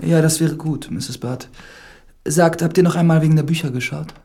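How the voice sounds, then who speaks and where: An actor playing an earnest, pious priest, reading his journal in a measured, formal way in a radio drama. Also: a little high, fairly even intonation, mellow, husky. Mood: polite. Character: earnest, pious priest investigating a death, medieval-style world. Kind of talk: scripted radio drama monologue, journal narration. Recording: music behind the voice.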